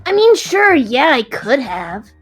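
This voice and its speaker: A woman plays a young dragon for an audio drama, high-pitched and very animated. The creature is whiny and easily flustered, sulking like a kid over a card game. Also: slow pace, soft background music, heavily acted. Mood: sheepish. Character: childish card-playing dragon, hungry, sulky, easily flustered.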